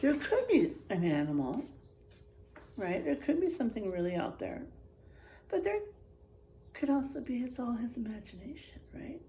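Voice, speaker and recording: A woman reading a picture book aloud to children, pausing to ask them questions. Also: a little high, very animated, mellow, echoey room, slight background noise. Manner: slow, asks children questions. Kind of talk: children's storybook read-aloud.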